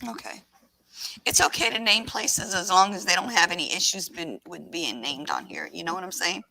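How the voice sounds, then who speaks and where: A woman hosting a casual livestream in a raspy voice, sick with a scratchy throat and talking in a rambling, halting way. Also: lowish voice, pushed and bright. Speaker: livestream host.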